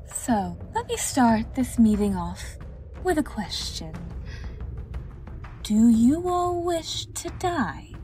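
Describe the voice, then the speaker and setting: Woman playing a snooty mermaid empress, highish voice, slow and cruel, fantasy audio drama. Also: very animated, mellow, soft background music. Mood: disdainful. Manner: imperious, theatrical, deliberate. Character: haughty immortal mermaid empress, cruel and contemptuous.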